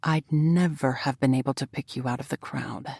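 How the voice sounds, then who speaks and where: A woman voicing a polite young male gentleman who speaks courteously and sounds a little flustered. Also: rumbling low, steady tone, normal speed, creaky, no room sound, studio quality, exaggerated voice.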